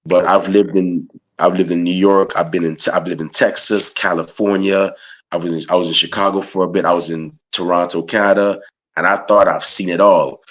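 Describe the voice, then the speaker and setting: Deep-voiced relaxed man from Guyana. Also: moderate pace. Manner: loose, rambling questions full of "you know" and "man". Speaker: interviewer, podcast host. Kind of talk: casual interview chat.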